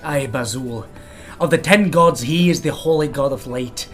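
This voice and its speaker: A man's voice: mid-range voice, moderate pace, fairly bright tone, close to an everyday voice. Man acting a dwarf adventurer, fantasy audio drama, blunt, sardonic, old-fashioned phrasing, music behind. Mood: earnest. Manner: blunt, needling, archaic turns of phrase. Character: gruff male dwarf adventurer, sardonic and plainspoken.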